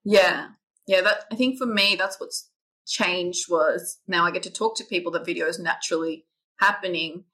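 A woman hosts a podcast in a lowish, husky voice with a bright edge. She talks enthusiastically and rambles, speeding up and slowing down as she thinks aloud. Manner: enthusiastic, rambling, uneven pace. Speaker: podcast host. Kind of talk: casual interview talk.

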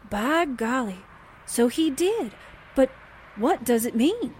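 A woman acts out a spirited newspaperwoman sidekick in an old-time radio drama. She is plain-spoken and folksy, exclaiming in a fairly high voice. There is a faint rumble in the background. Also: big pitch swings, takes it slowly, a little mellow, heavily acted. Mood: curious.

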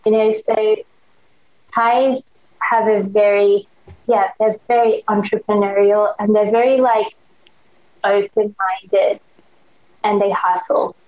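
Australian woman, young adult, enthusiastic slangy chatter, medium pitch, dull lo-fi recording. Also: steady tone, slow pace, pauses often. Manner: enthusiastic, slangy, many "like" fillers. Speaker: podcast guest. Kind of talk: casual chat. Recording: dull lo-fi sound.

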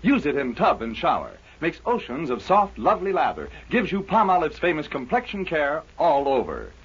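Very husky, swooping male ad announcer. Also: on the high side, huge pitch swings, moderate pace, a bit bright. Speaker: commercial announcer. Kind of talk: 1940s radio soap advertisement. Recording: dull vintage radio recording.

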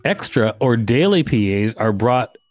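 A man's voice: medium pitch, sing-song, unhurried, a little mellow, soft background music. A man co-hosting a podcast, reading an article aloud with casual commentary. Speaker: podcast co-host.

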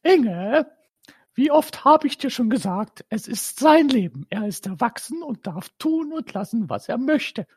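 A woman's voice: fairly high pitch, very animated, a little slow, husky, dry recording, clean studio sound, heavily acted. A woman voicing a stern, strict mother who scolds. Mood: scolding.

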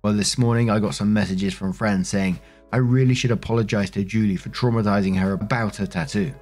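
Lower-voiced man reading stories. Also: steady tone, fairly quick, a little mellow. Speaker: narrator of online stories. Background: faint music.

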